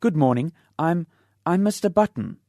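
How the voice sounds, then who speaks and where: A man voicing a nervous young gentleman, an anxious new father who pleads and gasps. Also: fairly high pitch, lively intonation, moderate pace, no room sound, studio quality, pitched above the performer's own voice. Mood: desperate.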